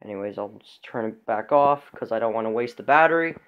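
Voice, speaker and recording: A man giving a casual show-and-tell as a vlogger, rambling and halting as he goes. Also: lowish voice, dull audio. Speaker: vlogger showing a radio collection. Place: indoors in a room at home.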